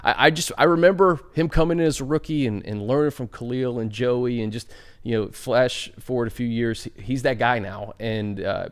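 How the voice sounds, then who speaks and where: A man's voice: mid-range voice, normal speed, clean tone. A man hosting a sports show, talking football casually and steering the conversation.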